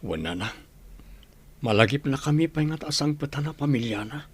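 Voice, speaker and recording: A man's voice: medium pitch, lively intonation, moderate pace, breathy edge. A man playing a downhearted grown son who pines for his wife, speaking in a subdued, sorrowful way in a radio drama. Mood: subdued, sad.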